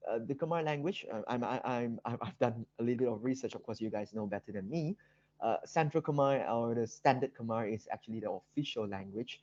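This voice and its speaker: Halting Malaysian male lecturer, roomy dull audio. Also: medium pitch, warm tone. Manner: halting, hesitant, with very frequent ums and repeated words. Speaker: guest lecturer. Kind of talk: academic seminar presentation. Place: online video call seminar.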